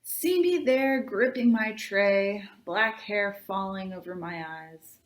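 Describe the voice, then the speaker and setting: A woman reads a story aloud for a young audience in the manner of a children's librarian. Her mid-pitched voice moves at an unhurried pace. The delivery is expressive and deliberate, shaped for tween listeners. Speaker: youth services librarian reading aloud to tweens.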